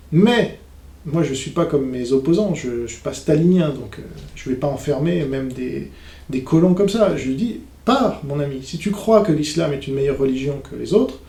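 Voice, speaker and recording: A man's voice: fairly high pitch, dark tone. A man giving off-the-cuff polemical commentary in a sarcastic, scornful manner, mimicking the people he criticises. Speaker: commentator reacting to a conference video. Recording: roomy, echoing room sound.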